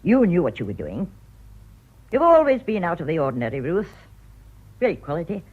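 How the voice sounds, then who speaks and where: Brisk, husky older village woman. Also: on the low side, huge pitch swings. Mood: knowing. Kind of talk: radio drama acting. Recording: dull lo-fi radio recording.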